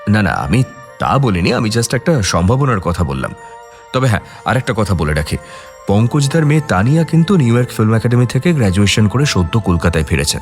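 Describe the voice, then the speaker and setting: Agitated male murder suspect, very animated. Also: lowish voice, quick pace, soft background music. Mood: defensive. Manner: emphatic, protesting. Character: defensive, agitated male film actor under suspicion. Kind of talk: radio drama acting.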